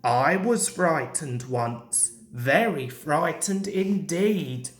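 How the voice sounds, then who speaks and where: A woman reads as a modest little mountain engine in a children's storybook. He speaks very slowly and earnestly, in a slightly low voice, in an echoey room. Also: lively intonation, heavily acted. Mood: thoughtful. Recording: roomy, echoing home recording.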